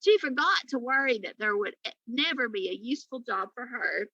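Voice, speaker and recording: Lady reading a story out loud to kids, highish bright voice, bit lo-fi. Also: lively intonation, brisk, vocal fry, no room sound. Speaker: teacher reading aloud to pupils. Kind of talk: storybook read-aloud narration.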